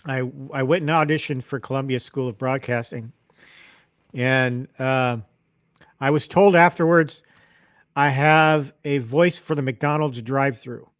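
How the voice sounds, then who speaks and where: A man's voice: mid-range voice, no room sound, clean studio sound. A middle-aged man hosting a casual livestream from his home arcade room, rambling with many restarts. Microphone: lavalier mic.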